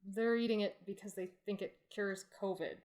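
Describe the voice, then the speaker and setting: Woman playing apologetic straight woman, halting delivery, average pitch, scripted audio sketch. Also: fairly even intonation, darkish tone, lightly acted.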